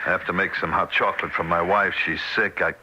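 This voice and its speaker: An actor in an old-time radio drama playing a harried, exasperated husband, excitable and incredulous. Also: male voice, sits low, fast. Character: harried, exasperated husband narrating a ghostly encounter. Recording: noisy, thin old radio recording.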